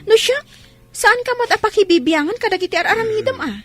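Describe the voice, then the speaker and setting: A woman's voice: extremely high pitch, expressive, quick pace, a bit bright. A woman playing an earnest, dutiful young student with an Ilocano accent in a Philippine radio drama. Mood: indignant.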